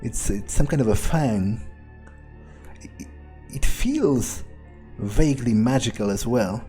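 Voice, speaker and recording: A man's voice: medium pitch, expressive, takes it slowly, mellow, soft background music. A man doing in-character video game commentary, thinking aloud in a hesitant, musing, sardonic way with casual swearing. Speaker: gaming video creator playing in character.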